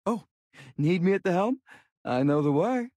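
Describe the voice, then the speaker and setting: A man's voice: high voice, lively intonation, moderate pace, mellow, dry recording. A man voicing a confident, easygoing young pirate helmsman in a video game, with a touch of swagger. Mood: breezy.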